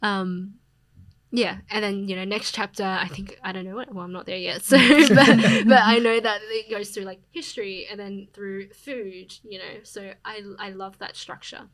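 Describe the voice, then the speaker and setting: A young Australian woman, a poet, talking reflectively as a podcast guest, with plenty of fillers and soft laughs. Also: mid-range voice, fairly even intonation, moderate pace. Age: young adult. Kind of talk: casual interview conversation.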